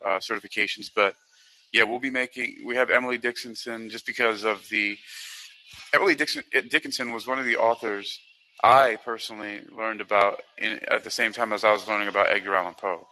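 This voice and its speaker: A man hosting an informal explanatory talk, speaking in a halting, rambling way and often repeating himself. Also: fairly low pitch, steady tone, bright, forceful tone, poor audio. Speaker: host presenting a literary certification program.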